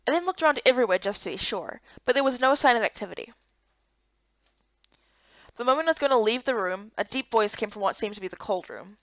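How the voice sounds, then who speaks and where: A woman's voice: a little high, lively intonation, fast, fairly bright tone, dry recording. A woman reading scripted first-person story narration as narrator of a voiced game. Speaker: narrator and voice actor. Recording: dull lo-fi close recording.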